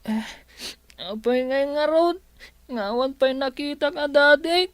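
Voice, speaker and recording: An innocent little schoolchild in a radio drama. The voice is high and slow, with simple questioning phrases and an Ilocano Filipino accent. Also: female voice, no room sound. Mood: puzzled, hurt.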